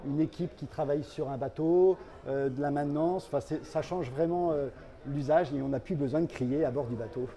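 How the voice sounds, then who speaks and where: Mellow, slightly high-voiced man explaining products. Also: a little fast. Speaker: company representative, interview guest.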